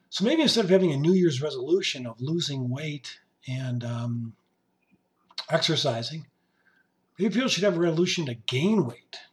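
A man hosting his podcast in casual monologue, his voice hoarse and raspy, rambling and joking as he talks to his musician. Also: fairly high pitch. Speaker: podcast host. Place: basement home studio.

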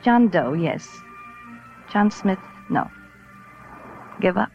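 Creaky-voiced sultry femme fatale. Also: female voice, fairly low pitch, very animated, slow pace, darkish tone, full character voice. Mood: seductive, needling. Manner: languid, insinuating. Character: sultry, teasing femme fatale woman professor. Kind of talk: 1940s radio noir acting. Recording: muffled old radio recording with music.

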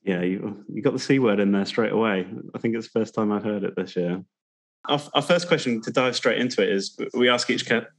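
A man's voice: sits low, a bit bright. A man hosting an interview show, introducing topics and putting questions to guests. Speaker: podcast host interviewing a guest. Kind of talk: scripted introduction and conversational interviewing.

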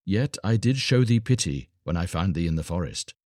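A man's voice: sits low, lively intonation, moderate pace, a little mellow, no room sound, studio quality. A man voicing an upright, kindly woodcutter in earnest, archaic storybook speech. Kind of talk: dramatised storybook reading.

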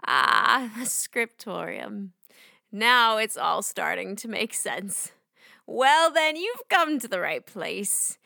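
A crotchety old woman living out in the wilds, with a creaky, bright voice. She talks in a theatrical, folksy way with big swings of pitch, made for a children's audio drama. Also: sing-song, slow pace, dry recording, clean studio sound, full character voice. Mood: welcoming, curious. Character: short, gruff but twinkly old woman hermit Maggie.

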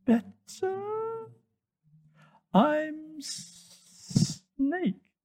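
A man voicing a sly snake in a children's story, hissing slowly as he coaxes with soothing menace. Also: high voice, gravelly, mellow, slightly rough, voice pushed up, heavily acted. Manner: hissing, slow, drawn-out coaxing delivery.

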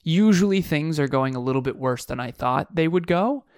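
A man's voice: fairly high pitch, lively intonation, normal speed, smooth, no echo, clean studio sound. A man co-hosting a podcast, musing aloud in casual chat with frequent restarts. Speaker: podcast co-host.

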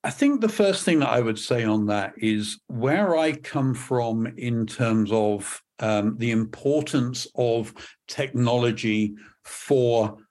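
British man with a hesitant, measured delivery. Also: medium pitch, darkish tone. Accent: English. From England. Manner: measured, many fillers and restarts. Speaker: guest. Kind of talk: podcast interview.

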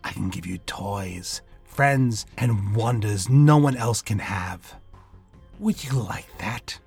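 A man voicing a sinister female water spirit in an icy, hushed voice, slowly luring and falsely tender. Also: on the low side, faint music behind, heavily acted. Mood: seductive, soothing. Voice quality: icy, hushed, breathy whispering.

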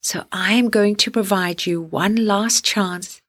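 A woman hosting a guided sleep meditation, speaking gently and soothingly in deliberate phrases with long pauses. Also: medium pitch.

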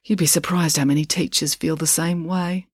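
A woman's voice: low voice, fairly even intonation, moderate pace, husky, dry recording, clean studio sound. A woman voicing a weary, drily amused male schoolteacher in a children's story, with a wry, pedantic manner.

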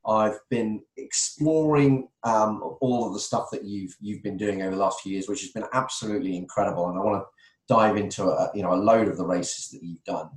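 A man's voice: lowish voice, fairly even intonation, a little fast. A man hosting a podcast, asking questions in an enthusiastic, friendly interviewing manner. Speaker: podcast host. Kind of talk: casual interview chat. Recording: roomy echoey sound.

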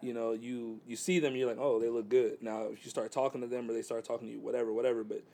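A man's voice: mid-range voice, level tone, fast, dark tone. A man in his thirties chatting casually about dating, laid-back and rambling with lots of fillers. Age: 30s. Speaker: guest.

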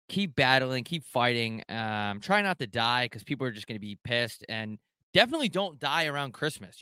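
Young male streamer host, bright crisp voice, dry close mic, profane rambling humor. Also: medium pitch, no room sound. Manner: profane, joking, loose and rambling. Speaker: show host taking live callers. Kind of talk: casual rambling chat.

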